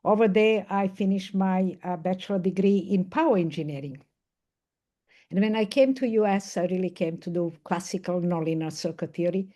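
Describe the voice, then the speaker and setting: A woman's voice: fairly low pitch, normal speed, mellow. An older woman from Serbia, a professor speaking as a panelist on a video call, explaining thoughtfully at length. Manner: thoughtful, explanatory, with occasional laughs. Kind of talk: online panel discussion.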